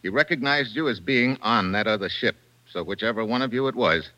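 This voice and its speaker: Tough male investigator, old radio adventure. Clipped, wry, commanding; bright, forceful and lowish. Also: lively intonation, a little fast, lo-fi sound. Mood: stern, challenging. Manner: clipped, hard-boiled, commanding. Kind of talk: 1950s radio adventure drama acting. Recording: old radio drama recording.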